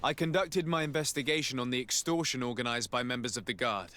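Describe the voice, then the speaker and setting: A man's voice: medium pitch, fast, bright, forceful tone, slight background noise, natural voice. A man acting as a stern emissary of a merchant state in a video game, speaking formally and with measure. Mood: grave, formal.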